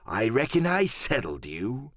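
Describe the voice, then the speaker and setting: A man's voice: mid-range voice, huge pitch swings, a little slow, fairly bright tone, no echo, clean studio sound. A man voicing a sly, insolent old one-legged pirate, coolly mocking and drawling, in an audiobook drama. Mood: cold.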